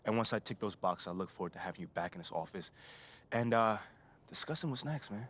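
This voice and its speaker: A man's voice: fairly low pitch, animated, quick pace, fairly bright tone, a little hiss or hum. A man playing an eager, respectful young pro basketball player in a video game cutscene, talking in casual slang. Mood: pleased, respectful. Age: young adult.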